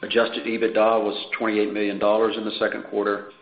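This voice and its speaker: Flat-toned man on an echoey conference call. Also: lowish voice, fairly quick. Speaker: company executive presenting financial results. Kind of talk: prepared earnings call presentation. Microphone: conference call line. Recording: dull, echoey conference call with faint noise.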